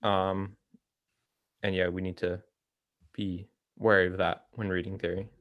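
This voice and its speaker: A young man co-hosting a casual discussion, talking in a hesitant, halting way. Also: low-pitched, little pitch movement, no echo, lo-fi sound. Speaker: co-host of a reading discussion.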